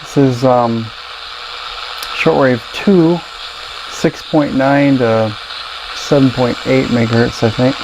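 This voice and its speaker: Slow, gravelly man demonstrating a radio. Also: medium pitch. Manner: halting, thinking aloud. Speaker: radio reviewer and host. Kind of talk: casual product demonstration. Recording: clear radio static and noise.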